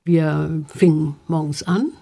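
An older woman looking back in an interview. Her voice is low and very mellow, and she talks conversationally with emphasis. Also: fairly even intonation, slow pace, vocal fry, slightly rough. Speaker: interview guest, actress. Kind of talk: reminiscing in an interview.

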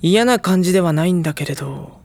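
An actor playing a gentle, earnest high school boy who speaks and narrates sincerely in an audio drama. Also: animated, quick pace, creaky. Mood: earnest, warm.

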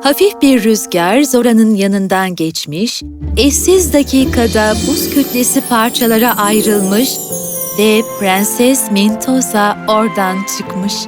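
A woman narrates a scripted fairy tale for a cartoon. Her voice sits somewhat high, and music plays clearly beneath it. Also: slow pace.

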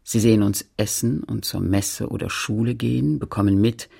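A woman playing a sober narrator recalling her childhood home in a radio play, measured and factual, with a husky, creaky voice. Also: extremely low pitch, steady tone, dark tone, exaggerated voice. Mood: sober, reflective. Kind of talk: literary radio play narration in German and French.

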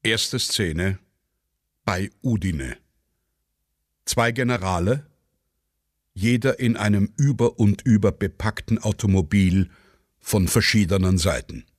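A man narrating a radio play, reading out scene headings and stage directions in a terse, measured way. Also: fairly low pitch, no room sound, clean studio sound.

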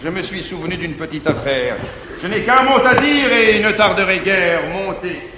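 Un tuteur jaloux et autoritaire. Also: male voice, high voice, animated, normal speed. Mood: bossy. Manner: declamatory, swinging from loud outbursts to muttered asides. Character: jealous middle-aged male guardian, domineering and scheming. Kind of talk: 1950s stage verse acting. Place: large theatre stage. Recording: echoey 1959 stage recording with faint hiss.

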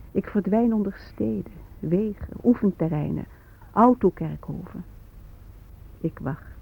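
An actress playing the Earth herself, a patient, wounded, mystical figure, in a deliberate and poetic radio drama monologue. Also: female voice, mid-range voice, creaky, breathy edge, exaggerated voice. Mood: quiet, reproachful. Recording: tinny, phone-like old radio recording.

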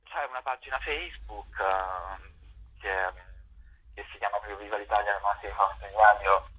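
A man's voice: on the low side, fairly even intonation, normal speed, sharp tone, breathy edge. A man answering radio interview questions as a guest over the phone, in a hesitant, piecemeal way. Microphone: phone call to radio studio. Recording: dull, muffled telephone line.

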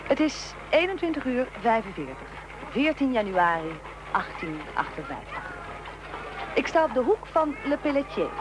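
A woman's voice: a little high, big pitch swings, moderate pace, noisy background. A woman playing a tense eyewitness reporter in a radio drama, commenting dramatically on history as it unfolds. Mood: solemn, excited. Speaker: reporter and interviewer.

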